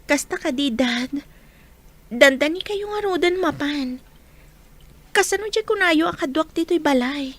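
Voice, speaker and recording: A woman's voice: high-pitched, lively intonation, measured pace, long pauses. A woman with an Ilocano accent playing a modest, polite, shy young student in a radio drama. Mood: concerned.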